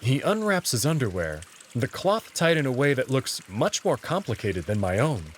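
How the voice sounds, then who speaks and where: A man reads first-person story narration for a visual novel. His mid-pitched voice moves expressively, and there is a faint sound of running water behind it. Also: very animated, moderate pace, slight background noise.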